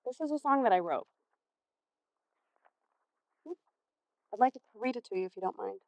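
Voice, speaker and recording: A woman playing a caring, patient high school counselor in a stage play, speaking in a gentle, earnest, measured way. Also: expressive, creaky, dry recording. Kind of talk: amateur stage play acting. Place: theater stage. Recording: muffled, dull stage recording.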